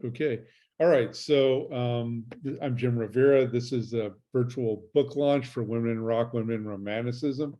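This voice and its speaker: A man's voice: mid-range voice, steady tone, normal speed, mellow. A man hosting a virtual book launch over a video call, talking in a casual, friendly way.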